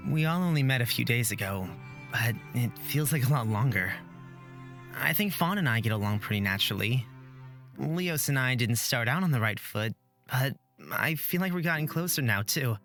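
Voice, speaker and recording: A polite young fantasy traveler, played by a woman, speaking gently and earnestly with small hesitations. Faint game music plays behind the voice. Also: rumbling low, lively intonation, normal speed, voice pushed down, exaggerated voice. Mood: sincere.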